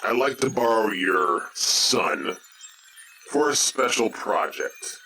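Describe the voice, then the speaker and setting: Man acting a low, measured, proud villain commander. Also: animated, pushed and bright, full character voice. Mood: stern, demanding. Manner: measured, authoritative, menacing. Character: proud, stern male mercenary count and warship commander. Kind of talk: audio drama acting. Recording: faintly noisy recording.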